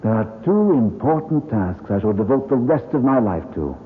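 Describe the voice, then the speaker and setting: An actor playing a steadfast, tender husband and gentleman hero in an earnest old radio mystery style. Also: mid-range voice, expressive, moderate pace, faint music behind, lightly acted. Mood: tender resolve. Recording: narrow-band, noisy vintage radio.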